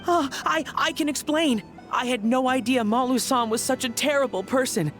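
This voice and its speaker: A man's voice: very high voice, normal speed, fairly bright tone. An actor voicing a nervous, earnest male henchman in a video game, hesitant and pleading. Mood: nervous, apologetic. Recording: game music behind.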